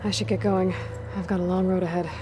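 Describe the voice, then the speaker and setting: A woman's voice: on the low side, little pitch movement, quick pace, close to an everyday voice. A woman playing a determined, guarded young hunter in game dialogue, matter-of-fact and understated, with music behind. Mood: thoughtful, gentle. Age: young adult. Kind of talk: video game cutscene dialogue.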